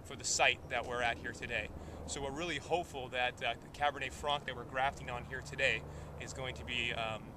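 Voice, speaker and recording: A young man who owns a vineyard explains a farming technique in a relaxed, plainspoken way, recorded outdoors on a lapel mic. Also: medium pitch, brisk. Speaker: vineyard owner presenting a field video. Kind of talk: casual instructional talk. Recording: outdoor field recording with background noise.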